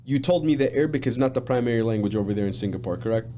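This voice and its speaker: A man from Michigan hosting a casual podcast interview, chatty and relaxed, with frequent fillers and restarts. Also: mid-range voice, very fast, a little hiss or hum. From Michigan, USA. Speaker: podcast host.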